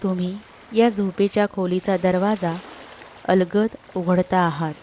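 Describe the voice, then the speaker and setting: Slow, soothing woman guiding sleep meditation. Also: fairly low pitch, steady tone, dark tone, a little hiss or hum. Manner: soothing, unhurried, with long pauses between short phrases. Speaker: guided meditation narrator. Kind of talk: scripted guided sleep meditation.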